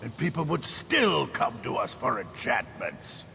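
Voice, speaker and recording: A matter-of-fact college enchanter from a fantasy game. He is brisk and businesslike, with a very gravelly voice of middling pitch, echoing in a hall over a faint rumble. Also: sing-song, sharp tone, exaggerated voice. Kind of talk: fantasy video game character dialogue. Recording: hall reverb, background rumble.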